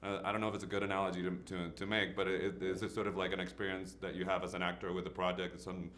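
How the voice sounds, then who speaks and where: A man from Puerto Rico, a native Spanish speaker, asking questions as an interviewer and rephrasing them haltingly as he goes. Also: sits low, level tone, a bit bright. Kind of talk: conversational press questioning. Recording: faint background noise.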